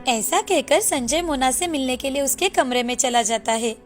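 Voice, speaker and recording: Woman telling a moral story, pretty high voice, scripted storyteller delivery. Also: normal speed, faint music behind. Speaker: story narrator.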